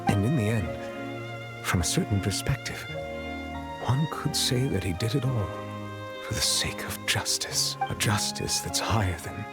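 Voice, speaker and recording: A man playing a solemn, dignified chief justice in a video game, speaking in a formal, measured, reserved way. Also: lowish voice, lively intonation. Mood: somber, reflective. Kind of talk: dramatic video game voice acting. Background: game music.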